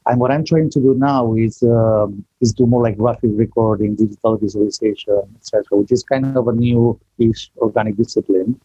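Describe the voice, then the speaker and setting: Guy from spain, english, low mellow voice, a bit husky, dull dry call audio. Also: fairly even intonation, no echo. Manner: chatty, self-deprecating, halting restarts. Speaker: guest. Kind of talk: casual online interview.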